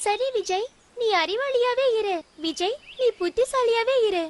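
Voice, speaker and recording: A woman's voice: extremely high pitch, measured pace, very noisy. A woman voicing a clever young cartoon girl who explains things in a reassuring way. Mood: reassuring, confident. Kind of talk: cartoon dubbing. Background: loud music.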